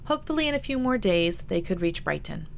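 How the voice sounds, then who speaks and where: A woman's voice: mid-range voice, big pitch swings, a little fast, gravelly. A woman author reading her own historical novel aloud in an audiobook narration style. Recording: noticeable background noise.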